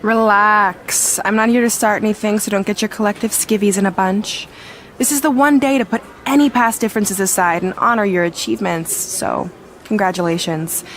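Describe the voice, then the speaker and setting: A woman's voice: mid-range voice, steady tone, moderate pace, fairly bright tone, a little hiss or hum, close to an everyday voice. Woman as a haughty, snide wrestling rival. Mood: coolly snide. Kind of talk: video game cutscene acting.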